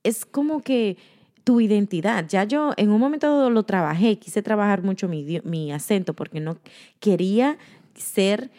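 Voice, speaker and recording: A woman's voice: medium pitch, expressive, moderate pace, warm tone. A woman in her thirties speaking openly in casual conversation, laughing often and dropping English words into her speech.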